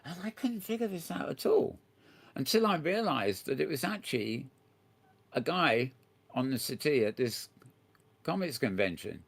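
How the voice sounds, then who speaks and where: A man's voice: fairly high pitch, sing-song, normal speed, gravelly, pauses often. An older man hosting a casual chat show, rambling and restarting often as he tells a story. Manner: chatty, rambling, many restarts and stammers. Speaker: host.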